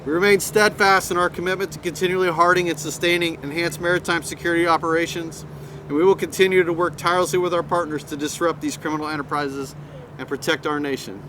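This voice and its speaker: Higher-voiced coast guard captain reading a stiff formal statement, street noise behind. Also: male voice, steady tone. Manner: formal, measured delivery. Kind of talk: prepared press statement. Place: outdoor press event. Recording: outdoor traffic noise.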